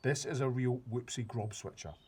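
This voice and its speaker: A man reading aloud, voicing an excitable big friendly old male giant who mangles his grammar and invents words. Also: medium pitch, moderate pace, husky. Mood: grumbling. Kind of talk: reading a children's book aloud.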